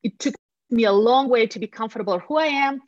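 A woman's voice: a little high, brisk, no room sound, highs cut off. A woman from Latvia with a Latvian accent, a guest chatting frankly and cheerfully over a video call, calling her listeners friends. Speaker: guest, real estate agent. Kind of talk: casual podcast chat over video call.

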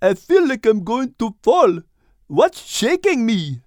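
High-pitched frightened giraffe. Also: male voice, big pitch swings, takes it slowly, darkish tone, no echo, studio quality, full character voice. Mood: panicked. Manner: panicky, wavering. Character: frightened, jittery male giraffe. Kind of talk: children's audiobook character.

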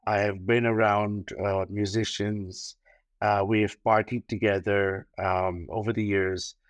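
A man's voice: fairly low pitch, flat delivery, unhurried, no echo. Man talking it through in a dry room. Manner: thoughtful, with many fillers and repeated starts. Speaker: luxury wedding planner, guest. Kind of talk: podcast interview.

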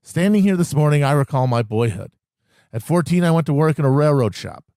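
A man's voice: fairly high pitch, animated, a little fast, warm tone, no room sound, studio quality. A man giving a solemn, oratorical reading of a historical political speech.

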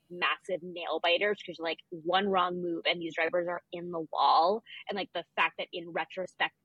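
A woman's voice: lowish voice, fairly bright tone, slightly rough, no room sound, lo-fi sound. A woman co-hosting a podcast, talking about sports in an informal, conversational, emphatic way. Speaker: podcast co-host. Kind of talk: casual sports chat.

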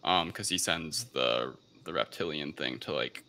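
A man with a low, very bright and forceful voice hosts a casual movie discussion. He recounts things haltingly, rambling as he feels his way through each point. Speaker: podcast host. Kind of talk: casual film review discussion.

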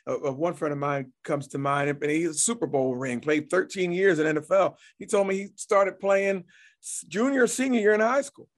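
A man's voice: fairly high pitch, moderate pace. A man hosting an interview, chatty and encouraging, often repeating words as he builds up his questions. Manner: chatty, many restarts and affirmations. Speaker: interview host. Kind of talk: casual interview talk.

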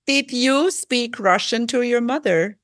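A woman hosting a podcast in Cyprus, upbeat and quick to laugh as she interviews her guest. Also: fairly high pitch, no echo, studio quality. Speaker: podcast host.

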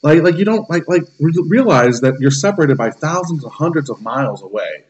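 A man's voice: fairly high pitch, expressive, brisk, lo-fi sound. A man in his thirties ranting casually as a podcast co-host, blunt, profane and irritable. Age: 30s. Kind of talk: casual opinionated rant.

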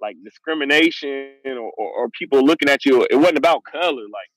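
A man's voice: mid-range voice, fairly quick, fairly bright tone, poor audio. A man talking loosely in a casual podcast discussion, rambling and filling with "like" and "you know what I mean".

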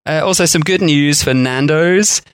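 A man co-hosting a casual food history podcast, chatty and quick to laugh at himself. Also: on the high side, clear, resonant tone, no echo. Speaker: podcast co-host.

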